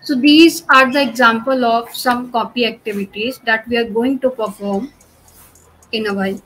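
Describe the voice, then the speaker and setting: A woman's voice: a little high, fairly even intonation, moderate pace, a bit bright, a little hiss or hum, low-quality recording. A young woman presenting a cloud tutorial over screen share, explaining patiently and leaning on "okay" and "you know" between steps. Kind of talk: screen-share technical walkthrough.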